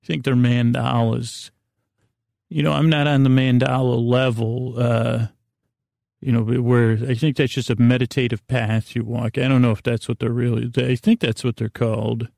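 A man hosting a sleep podcast, giving a gentle, soothing, meandering bedtime monologue in a halting way full of trailing asides. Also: medium pitch, flat delivery, vocal fry, dry recording, studio quality.

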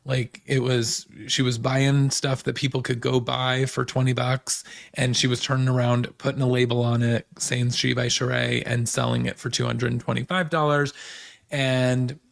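Hoarse guy doing a gossipy tv recap, kinda croaky, just chatting away. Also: medium pitch, level tone. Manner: chatty, gossipy, fast asides. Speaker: podcast host. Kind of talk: casual pop culture recap.

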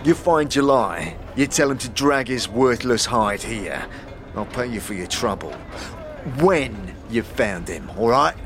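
Man acting a gruff, animated slum foreman. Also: mid-range voice, measured pace, background noise, close to an everyday voice. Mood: sarcastic, scornful. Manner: surly, sarcastic, old-fashioned street slang. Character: gruff, harried, foul-tempered slum construction foreman. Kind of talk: fantasy audio drama acting.

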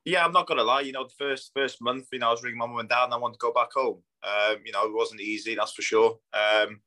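A man's voice: mid-range voice, fairly even intonation, fast, bright, forceful tone. A Polish man in his thirties, a native Polish speaker and footballer, chatting casually and matter-of-factly in an interview, his accent a mix of Polish, Scandinavian and northwest English. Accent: Polish mixed with Scandinavian and northwest English. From Poland. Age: 30s.

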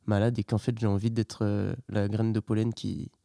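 A young man, a hip hop artist, talking in a casual interview in a soft-spoken, halting and reflective way. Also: fairly low pitch, little pitch movement, gravelly, no echo, clean studio sound. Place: artists' workshop.

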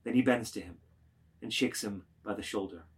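Guy narrating a novel like stage directions, lowish raspy voice, measured phrasing. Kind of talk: scripted literary narration, reading stage directions aloud.

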